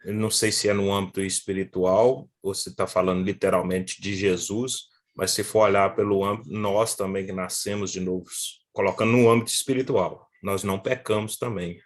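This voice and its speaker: A man's voice: deep voice, steady tone, normal speed, hardly any pauses. A man, a student in a Bible class, offering a tentative reading and thinking aloud. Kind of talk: class discussion.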